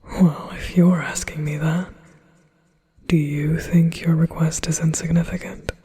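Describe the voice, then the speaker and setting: A man voicing a flattering, possessive male demon in a soft, intimate ASMR whisper. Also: on the high side, unhurried, breathy edge. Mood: coaxing.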